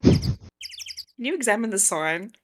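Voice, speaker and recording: A woman's voice: medium pitch, expressive, slow pace, noisy background. A woman chatting casually as part of a group doing a streamed dub.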